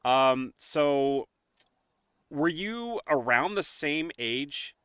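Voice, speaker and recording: A man who hosts a casual podcast interview, with a deep voice and a friendly, chatty way of asking long rambling questions. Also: lively intonation, drawn-out words, a bit bright, clear, resonant tone, no echo, clean studio sound. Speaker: podcast host.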